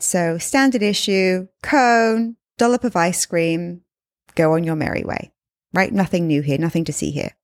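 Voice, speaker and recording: A woman's voice: on the low side, creaky, darkish tone, no echo, studio quality. A woman in her thirties from England, whose accent mixes English and Australian, tells a story in a chatty podcast monologue and addresses listeners as her friends. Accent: English and Australian mix. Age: 30s. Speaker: podcast host and life coach.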